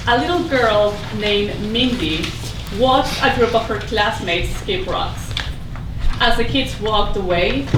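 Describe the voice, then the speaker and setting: A woman reading scripted, storybook-style narration aloud at a staged play reading. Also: mid-range voice. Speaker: stage reader. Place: indoor room at a staged reading. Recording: echoey, distant, noisy stage recording.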